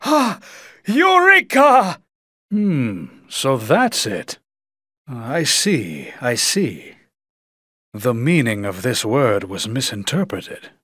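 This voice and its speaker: Haughty game sorcerer, pompous and theatrical, measured pace, animated intonation. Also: male voice, medium pitch, slightly rough, no echo, clean studio sound, exaggerated voice. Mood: musing discovery. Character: haughty scholarly male sorcerer, proud and power hungry. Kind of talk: video game villain acting.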